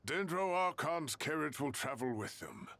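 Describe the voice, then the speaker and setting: A man's voice: a little high, very animated, moderate pace, creaky, sharp tone, full character voice. A man voicing an earnest, articulate scholar in a dramatic video game scene. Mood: grave. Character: earnest adult male scholar who studies the ley lines.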